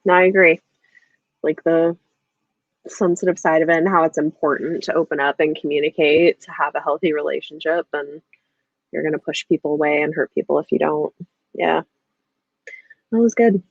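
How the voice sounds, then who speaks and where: Woman, casual livestream host, informal book talk, lower pitch, dull lo-fi sound. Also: steady tone, moderate pace, vocal fry, long pauses, no echo.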